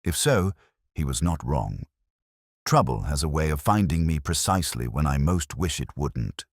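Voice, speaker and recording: A man reading a scripted literary detective story in the first person, in a measured, brooding, wry manner. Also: rumbling low, very animated, dry recording, clean studio sound. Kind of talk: scripted literary mystery narration.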